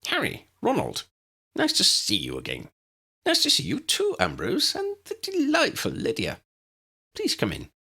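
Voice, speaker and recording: A man's voice: high voice, wildly swooping pitch, unhurried, vocal fry, bright, forceful tone, slightly rough, pitched above the performer's own voice, heavily acted. A man voicing a tiny, courteous old wizard professor in an audiobook, chirpy and polite. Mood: welcoming.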